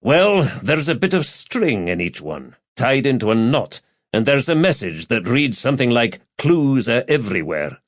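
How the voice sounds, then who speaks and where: A man voicing a guarded, reluctant confidant, measured and discreet in a matter-of-fact way. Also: mid-range voice, big pitch swings, no room sound, lo-fi sound. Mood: confiding.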